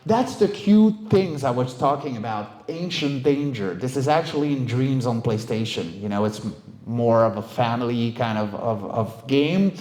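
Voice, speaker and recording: Middle aged guy doing a casual talk, medium pitch, animated storyteller, echoey room sound. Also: normal speed. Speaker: voice actor, director and coach giving a talk. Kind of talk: informal conference talk, career anecdotes. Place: indoor event room, live talk. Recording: roomy, echoey live talk recording.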